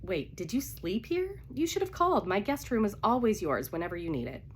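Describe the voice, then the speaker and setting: A woman's voice: medium pitch, very animated, brisk, a little hiss or hum, voice pushed up, close to an everyday voice. A woman with a cold voicing a loyal, reassuring male best friend in a romance novel. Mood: concerned. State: congested with a cold.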